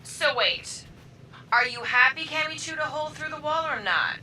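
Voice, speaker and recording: A woman's voice: high-pitched, lively intonation, moderate pace, sharp tone, a little hiss or hum, exaggerated voice. Skeptical, blunt female crewmate. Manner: skeptical, dry, challenging. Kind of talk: video game voice acting.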